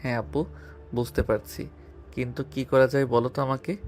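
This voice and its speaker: A man acts out a harassed young man in a romantic audio drama. His voice is medium-pitched, with an anxious, complaining and pleading manner, over faint background music. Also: flat delivery, normal speed, a little mellow, lightly acted. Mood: agreeing, anxious. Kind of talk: Bengali audio love-story drama.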